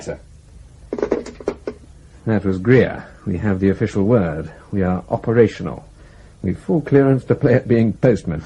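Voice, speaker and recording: Wry desk agent in a radio drama, mellow n a bit rough, pitch moves around lots. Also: male voice, full character voice. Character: keen, wry male intelligence officer, deferential desk agent.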